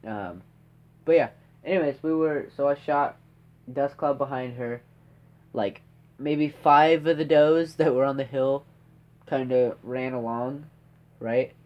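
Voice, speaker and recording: A man's voice: medium pitch, takes it slowly, pauses often, dull audio. A teenage boy with a stuffed-up nose, rambling through a story with lots of fillers and tag questions. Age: teens. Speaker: podcast host. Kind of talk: casual storytelling.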